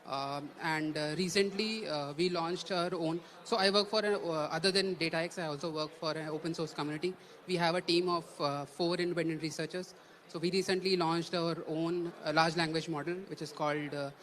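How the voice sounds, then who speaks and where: A man's voice: a little high, a bit bright. A man introducing himself on a conference panel, stumbling and repeating words. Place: conference stage.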